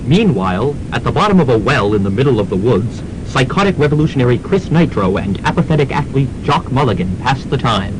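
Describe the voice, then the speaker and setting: A man's voice: sing-song, a little fast, few pauses, natural voice. Man as mock-dramatic radio serial narrator. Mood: scene-setting. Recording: noisy, rumbling amateur radio recording.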